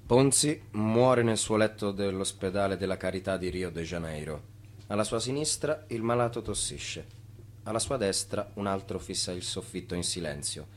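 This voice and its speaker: A sober male narrator of a radio drama. His voice is on the low side. He delivers scripted lines in a measured, detached, declarative manner. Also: animated. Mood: sombre, detached.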